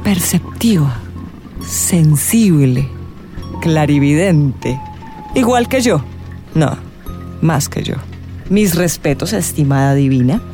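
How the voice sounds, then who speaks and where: A flamboyant fortune-telling witch for a radio play. She speaks slowly in a lower female register, stretching words for suspense and slipping toward whispers. Music plays prominently behind her. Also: lively intonation, warm tone. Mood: awed, dramatic. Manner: dramatic, suspenseful drawn-out delivery with whispers. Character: theatrical, eccentric woman astrologer and witch, gushing and flirtatious. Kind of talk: radio drama acting.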